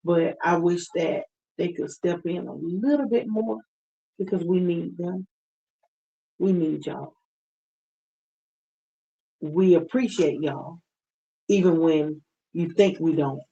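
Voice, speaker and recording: A soft-voiced woman speaking as a guest on a radio talk show, earnest and heartfelt. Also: fairly low pitch, slow pace, long pauses, highs cut off. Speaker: guest, parent advocate. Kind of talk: interview talk.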